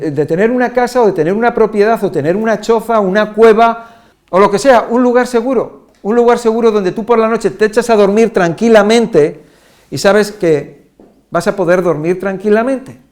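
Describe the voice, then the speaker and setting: A man from Spain with a Castilian accent and a fairly high voice teaches in a relaxed, roundabout way. He stacks up examples, restarts his sentences and circles back to the point. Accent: Spanish from Spain. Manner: repetitive, listing examples with restarts and fillers. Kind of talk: informal Spanish lesson.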